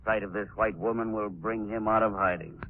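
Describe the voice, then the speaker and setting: An actor playing a ruthless, commanding villain with theatrical menace, in 1940s radio drama style. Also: male voice, fairly low pitch, fairly even intonation, brisk, a little hiss or hum, natural voice. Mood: cruel, commanding. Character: ruthless villain who rules islanders by fear. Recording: dull 1940s radio recording.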